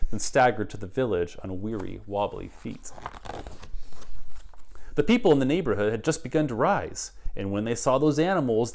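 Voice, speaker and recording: A middle-aged man reads a children's picture book aloud. His pitch is medium and moves widely as he dramatizes the rhyming story. The delivery is animated and expressive. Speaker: read-aloud guest reader. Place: child's bedroom.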